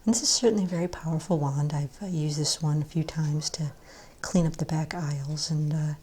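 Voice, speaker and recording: A woman with a low, soft, breathy voice that often slips close to a whisper. She plays a shopkeeper in an ASMR role play, talking gently and without hurry in a reassuring way. A faint layer of noise sits behind the voice. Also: fairly even intonation, brisk. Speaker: ASMR role-play performer as wand shop owner. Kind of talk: soft-spoken ASMR role play, improvised sales patter.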